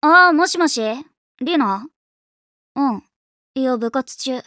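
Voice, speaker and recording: Cocky high-pitched tomboy teenage girl. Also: animated, slow pace, sharp tone, dry recording, clean studio sound, full character voice. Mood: casual. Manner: rough, slangy, boastful speech. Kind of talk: anime voice acting.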